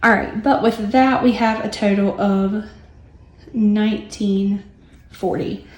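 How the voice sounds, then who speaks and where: Young woman, lilt, hoarse, rambling and chatty; roomy echo. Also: mid-range voice, fairly even intonation, takes it slowly, darkish tone. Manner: chatty with many ums and asides. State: hoarse, recovering lost voice. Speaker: budgeting vlogger. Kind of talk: casual chat while working on paper.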